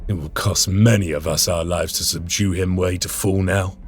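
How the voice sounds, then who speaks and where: A man playing a grave, weary space marine commander in a game, solemn, measured and formal. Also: low voice, fairly even intonation. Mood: grave, brooding. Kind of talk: dramatic scripted game acting.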